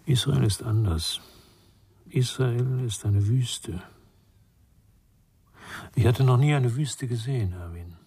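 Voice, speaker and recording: An actor playing a reflective older man, once a war refugee, speaking in a measured, confiding way in a radio drama. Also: mid-range voice, creaky, a little mellow, husky, no room sound, exaggerated voice. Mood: reflective, gentle. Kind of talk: German radio crime drama acting.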